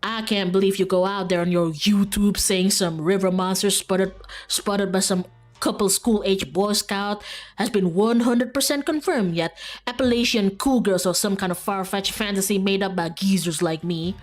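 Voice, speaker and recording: A woman voicing a gruff, stubborn old rural hunter with a Southern drawl, grumbling in a folksy, threatening way for a game. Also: medium pitch, fairly even intonation, normal speed, faint music behind, close to an everyday voice. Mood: indignant.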